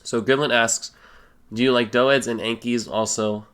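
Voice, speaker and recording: A young man with a stuffy, slightly sick voice, casually answering viewer questions as a gaming YouTuber, relaxed and full of fillers. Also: medium pitch, moderate pace. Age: young adult. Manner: relaxed, rambling, many fillers. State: stuffy nose, a little sick. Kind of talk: casual Q and A voice-over.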